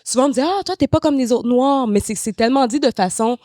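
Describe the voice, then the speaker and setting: A woman's voice: high voice, fast talker, dark tone, dry recording, studio quality. A woman with a Québécois French accent, interviewing a guest in a casual conversational way as podcast host. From Quebec region, Haitian-born adoptee.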